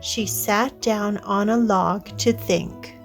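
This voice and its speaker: A woman narrating a children's storybook read-aloud in a deliberate way, addressing young listeners directly. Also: medium pitch, steady tone, faint music behind.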